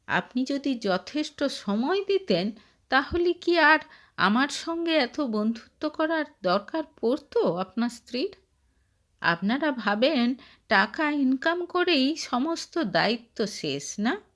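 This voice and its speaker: Woman acting a taunting, combative man, animated and high. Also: takes it slowly, full character voice. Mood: angry taunting. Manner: taunting, accusing, rhetorical questions. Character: jeering, combative young man, another woman's lover. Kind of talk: dramatised storytelling.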